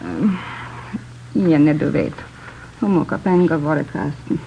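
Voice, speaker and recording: A muffled old radio drama recording with faint noise. a middle-aged Swedish immigrant mother with a lowish voice and a Swedish accent. She speaks politely and haltingly, weary and shaken. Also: sing-song, vocal fry, warm tone, heavily acted. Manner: halting, simple non-native phrasing. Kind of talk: 1950s radio drama acting.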